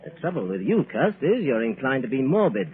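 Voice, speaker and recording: An actor playing a hearty, patronising upper-class gentleman with a glib manner in an old-time radio drama. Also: mid-range voice, very animated, a little fast, lightly acted. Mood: breezy. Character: jovial, breezy, condescending upper-class gentleman. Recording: narrow, tinny old radio recording.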